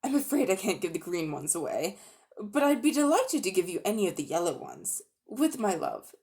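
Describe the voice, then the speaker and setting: A cunning old magician uncle, smooth and coaxing with a hint of menace, as a woman reads him aloud. His pitch rises and falls with sly relish, and he sounds falsely friendly in a children's storybook. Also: sing-song, moderate pace, darkish tone.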